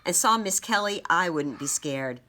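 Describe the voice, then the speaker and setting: A woman's voice: fairly low pitch, expressive, normal speed, bright, forceful tone. A woman voicing a cheerful, trusting little schoolgirl in a storybook, offering ideas in a chipper way.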